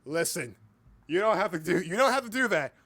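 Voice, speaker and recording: A man's voice: high voice, lively intonation, a little fast, a bit bright. A man hosting a casual livestream chat, speaking informally, with repeated words and occasional swearing. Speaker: livestream host and hobby voice actor.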